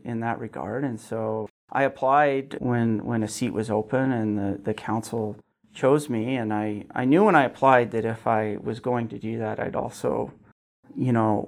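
A man's voice: medium pitch, vocal fry, darkish tone. Earnest man in his forties. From Wyoming, United States. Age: 40s. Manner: earnest, conversational, modest. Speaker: city council member, interview guest. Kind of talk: casual interview answers.

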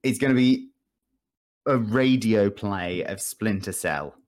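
A man with a fairly low voice chats as a podcast host. He rambles through his points about games and films in a dry, joking way and swears freely. He speaks close into a desk microphone. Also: takes it slowly, a little mellow. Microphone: close desk USB microphone.

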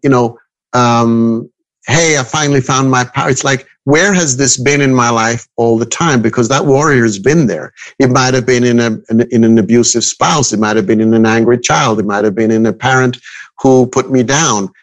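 A man in his fifties from Switzerland speaking as an expert guest in an interview, reflective and halting, repeating phrases for emphasis. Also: mid-range voice, fairly even intonation. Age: 50s. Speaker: guest expert, astrologer and coach. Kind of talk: interview conversation.

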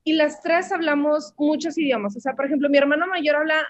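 Young Mexican woman, high voice, chatty guest, dry dull lo-fi sound. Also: steady tone, a little fast, no echo. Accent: Mexican Spanish. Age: 20s. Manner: chatty, storytelling, many fillers. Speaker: language teacher, guest. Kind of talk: casual interview answers.

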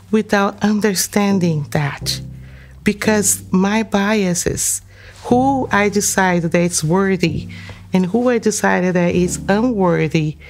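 A woman from Brazil speaks English with a gravelly edge of vocal fry. She talks reflectively as an interview guest, pausing on fillers and restarting phrases as she thinks. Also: mid-range voice. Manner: thoughtful, with frequent fillers and restarts. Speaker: psychotherapist and humanitarian guest.

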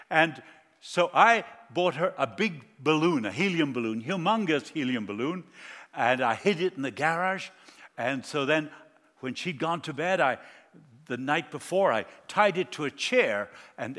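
A man's voice: fairly high pitch, sharp tone, big-room sound. A man from Argentina preaching a sermon as a guest, mixing jokes and personal stories into his message. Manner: jokey, anecdotal.

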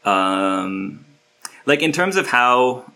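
A man's voice: mid-range voice, sing-song, very slow, pushed and bright. A man from Turkey, a guest musician, talking casually in an interview, rambling with many fillers and restarts.

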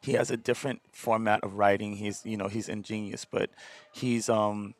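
A man with a gravelly, raspy low voice rambles through casual interview talk as a podcast guest, restarting phrases and leaning on fillers. The sound is close and dry, with no room around it. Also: normal speed, no room sound. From Hawaii. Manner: rambling, animated, repeats words and fillers. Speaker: podcast guest, dancer and singer.

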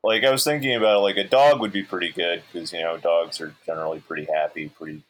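A man with a deep, low-pitched voice, chatting casually among friends. He muses in a low-key, halting way, feeling his way through each thought. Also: fairly even intonation, slight background noise, low-quality recording.

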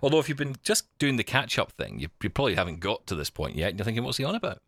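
Low, lively, bright-voiced male podcaster. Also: rapid-fire, dry recording, clean studio sound. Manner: jokey, self-deprecating. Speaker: podcast host, broadcaster. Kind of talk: casual bantering chat.

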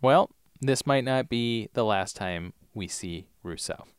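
A man's voice: medium pitch, expressive, slow, clean tone, no room sound, clean studio sound. A man co-hosting a podcast in casual TV recap chat, joking and teasing. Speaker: podcast co-host.

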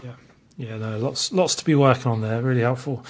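A man's voice: medium pitch, fairly quick. A man hosting a podcast, asking questions in a conversational interview style. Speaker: podcast host.

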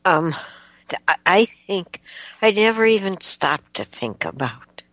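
A woman on a casual podcast chat, speaking in a measured, reflective way. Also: lowish voice, big pitch swings, a bit bright, no echo, lo-fi sound.

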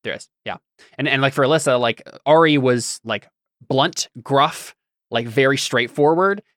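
A man's voice: medium pitch, normal speed, a bit bright, no echo, studio quality. A man hosting a podcast in casual chat, explaining informally and often checking in with his listener. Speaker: podcast host.

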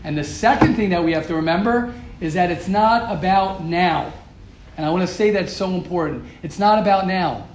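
A man's voice: sits high, normal speed, echoey room, slight background noise. A man teaching a Torah class to a live group, emphatic and repetitive, asking rhetorical questions. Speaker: rabbi giving a class. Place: room with a live audience.

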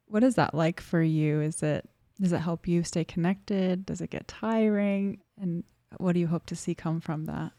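Female interviewer, low and a bit gravelly, asks long trailing questions. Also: normal speed, few pauses, dry recording, studio quality. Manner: long rambling questions, soft trailing endings. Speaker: podcast host interviewer. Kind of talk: warm conversational interviewing.

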